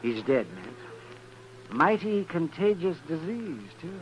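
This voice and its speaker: Crusty, high-voiced frontier doctor. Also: male voice, very animated, takes it slowly, highs cut off. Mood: wry. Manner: testy, sardonic, drawling. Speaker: actor in a radio western. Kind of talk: 1950s radio drama acting. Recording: noisy vintage radio recording.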